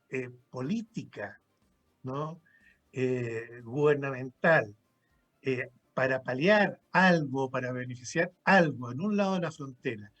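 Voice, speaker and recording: A man being interviewed on the radio, recounting his experience in a halting way, with fillers and repeated words. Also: fairly high pitch. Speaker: radio guest.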